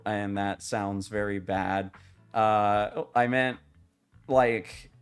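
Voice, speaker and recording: A young man streaming a game, chatting casually with viewers in a rambling, halting way, his voice slightly raspy. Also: medium pitch. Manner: rambling, halting, many restarts and fillers, swears casually.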